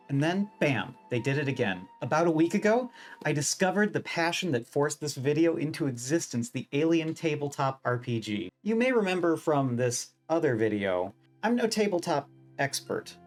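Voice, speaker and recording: A man narrating a scripted video essay in a wry, emphatic way, given to swearing. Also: medium pitch. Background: background music.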